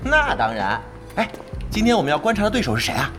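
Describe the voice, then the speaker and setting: Give the part of a naive young sidekick in an audio drama. He is brash and cheerful, blustering with great earnestness. His pitch leaps around freely, and music plays behind him. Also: huge pitch swings, fast. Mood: eager.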